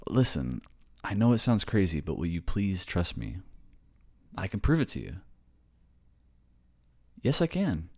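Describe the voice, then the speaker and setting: Man acting as earnest young boyfriend, low-pitched voice, hesitant, reassuring, ASMR roleplay drama. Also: wildly swooping pitch, unhurried, long pauses, a little mellow, slightly rough, full character voice. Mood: insistent, pleading. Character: earnest young man raised by ghosts, reassuring his partner.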